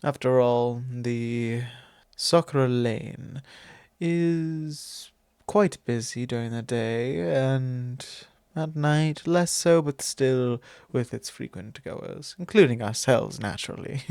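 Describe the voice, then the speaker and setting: A man playing a courtly, fond vampire lord in an intimate ASMR roleplay, speaking formally and unhurriedly with pauses. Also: mid-range voice, vocal fry, a little mellow, smooth, no room sound. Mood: suave, fond. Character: courtly possessive male vampire lord, long-lived, fond and wistful. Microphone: close microphone, dry.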